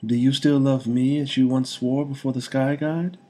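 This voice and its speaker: Man voicing a gushing young noblewoman in love, pitched up. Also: fairly even intonation, normal speed, warm tone, close to an everyday voice. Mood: earnest devotion. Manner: gushing, earnest, full of hope. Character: young noblewoman, joyful and devoted lover.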